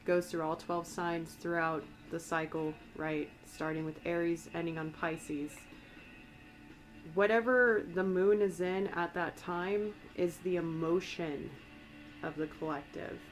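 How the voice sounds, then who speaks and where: American woman tarot reader, lowish raspy voice, rambling and gentle, faint music underneath. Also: fairly even intonation, slow pace, rough recording. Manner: soft, meandering, conversational. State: slightly raspy from a cold. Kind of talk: ASMR-style channeled tarot reading.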